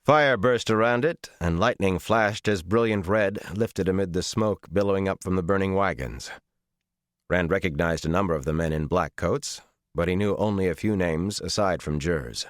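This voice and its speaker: Deep voiced guy narrating a fantasy audiobook, measured n dramatic, very dry studio sound. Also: no echo.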